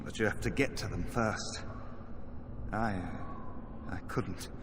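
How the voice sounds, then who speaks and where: An actor playing a weary, despairing trapped mage in a video game, speaking in a halting, resigned, bitter manner. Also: male voice, fairly low pitch. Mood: hesitant, musing. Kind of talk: dramatic video game dialogue. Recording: game audio with noisy ambience behind.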